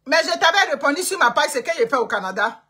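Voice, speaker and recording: Emphatic high-voiced lecturing woman. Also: lively intonation, quick pace, bright, forceful tone. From Côte d'Ivoire. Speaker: online commentator. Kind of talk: political monologue. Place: home in Canada.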